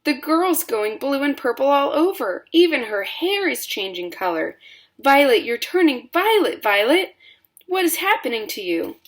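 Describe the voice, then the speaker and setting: A frantic mother shrieks in a high, piercing voice, her words jumping all over the place as she panics. A woman reading a storybook acts her out. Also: big pitch swings, a little slow. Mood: panicked. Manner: high shrill screaming.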